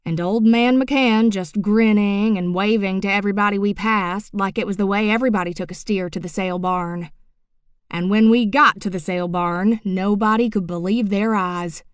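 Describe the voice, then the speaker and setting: A woman's voice: mid-range voice, fairly even intonation, normal speed, clear, resonant tone, no room sound, lightly acted. A woman narrating an audiobook, voicing a middle-aged rural man telling a tall tale in a drawling, folksy way. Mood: yarn-spinning. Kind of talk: audiobook character reading.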